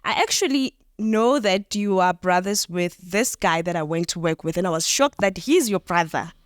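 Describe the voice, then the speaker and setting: A woman's voice: on the low side, expressive, moderate pace, dry recording, studio quality. A woman with a South African accent hosting a casual, conversational podcast interview.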